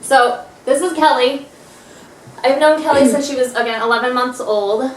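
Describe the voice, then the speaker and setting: A woman's voice: sits high, fairly even intonation, normal speed, echoey room, low-quality recording. A woman in her twenties hosting a casual podcast from her family's living room, teasing her guest with lots of fillers. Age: 20s. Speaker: podcast host. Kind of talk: casual chat. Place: home living room by an electric fireplace.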